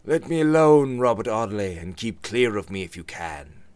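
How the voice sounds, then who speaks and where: A man voicing a proud, brooding young gentleman who answers curtly. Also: fairly low pitch, animated, moderate pace, no echo, voice pushed up, close to an everyday voice. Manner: curt and clipped.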